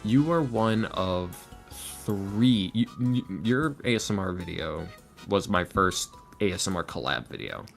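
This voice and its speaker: Male podcast guest, fairly low voice, chatty anecdotal delivery with acted-out quotes, light guitar music behind. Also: expressive, unhurried, gravelly. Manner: chatty, informal, acts out quotes. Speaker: podcast guest, voice actor and ASMR creator. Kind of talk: casual chat, storytelling.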